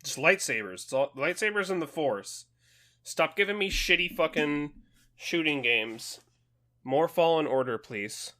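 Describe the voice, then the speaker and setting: A man with a bright, forward voice pitched a bit high. He banters bluntly as a podcast co-host, sarcastic and quick to cut in. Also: lively intonation. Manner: blunt, sarcastic, foul-mouthed, interrupting. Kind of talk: casual comedic banter.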